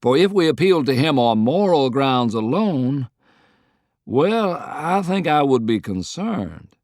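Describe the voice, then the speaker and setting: Man playing a raised-pitch, eloquent, shrewd statesman. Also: sing-song, darkish tone, no room sound, studio quality. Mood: wry. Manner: deliberate, articulate, rhetorical. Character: shrewd, eloquent male Confederate statesman, smooth and persuasive.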